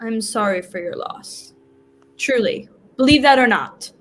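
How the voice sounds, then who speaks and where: A woman's voice: a little high, expressive, measured pace, a little hiss or hum. A woman in a staged play reading, playing a formal, businesslike Death who politely collects a dying soul. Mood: sincere, subdued.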